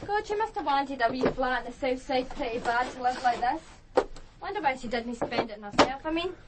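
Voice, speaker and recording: A woman's voice: high voice, lively intonation, brisk, very noisy. An actress playing a naive, defensive young Glasgow woman in a naturalistic radio drama, speaking colloquially. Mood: curious, chatty. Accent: Scottish, Glasgow.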